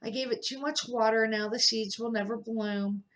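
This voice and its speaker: An older woman voicing an eager, impatient young schoolgirl, quick to feel sorry, in an earnest storybook reading. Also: a little high, fairly even intonation, fairly bright tone, lightly acted, rough recording. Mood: sorry, upset. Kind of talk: children's storybook character reading.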